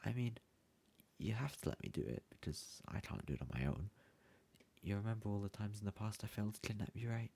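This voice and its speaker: A man's voice: lowish voice, fairly quick, vocal fry, darkish tone, husky. A man playing a bumbling, lovesick young yandere student in an ASMR roleplay, pleading hesitantly and stammering as he speaks to the listener.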